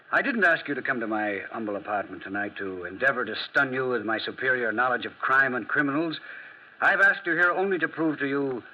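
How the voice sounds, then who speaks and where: A man's voice: mid-range voice, fairly quick, close to an everyday voice. A man playing a self-assured, courteous amateur detective in a 1940s radio drama, speaking in precise, formal, theatrical oratory. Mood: confident, courteous. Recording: muffled vintage radio recording.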